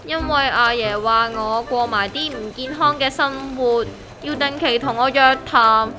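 A woman's voice: on the high side, a little slow, music in the background, close to an everyday voice. A woman with a Hong Kong Cantonese accent playing a gloomy, aggrieved young worker who whines and complains in a comedic audio drama. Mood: resigned griping. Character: gloomy, aggrieved young woman worker griping about her strict grandpa.

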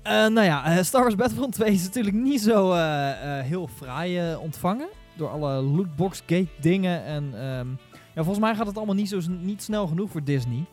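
A man chats as a panelist on a casual gaming podcast. His voice is a little high, his delivery halting, with faint music behind. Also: animated, a little mellow. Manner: hesitant, halting.

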